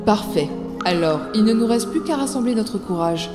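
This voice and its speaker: A woman's voice: mid-range voice, expressive, loud music behind the voice, lightly acted. Woman acting a firm, resolute mother, reverberant. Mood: determined. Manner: deliberate, firm. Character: resolute, stern, protective mother in clandestine revolt. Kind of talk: French audio drama acting.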